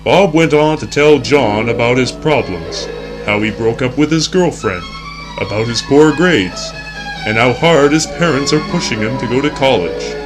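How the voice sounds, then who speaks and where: A man's voice: mid-range voice, lively intonation, moderate pace, over music. A young man reading scripted narration in the earnest, formal announcer style of a 1960s educational film. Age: young adult.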